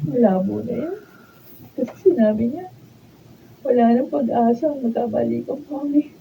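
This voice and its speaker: A woman's voice: a little high, moderate pace, vocal fry, warm tone. A woman acting a jealous, pleading wife in a Filipino radio drama, with a Filipino accent. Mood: dejected. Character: jealous, pleading wife begging for her husband's love. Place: radio drama recording session. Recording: tinny, noisy band-limited raw studio video.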